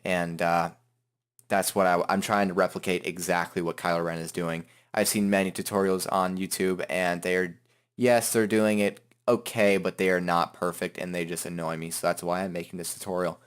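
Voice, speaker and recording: A man hosting a software tutorial in an informal, joking, self-deprecating way. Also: low voice, level tone, normal speed, clear, resonant tone. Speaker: tutorial host. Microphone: headset microphone.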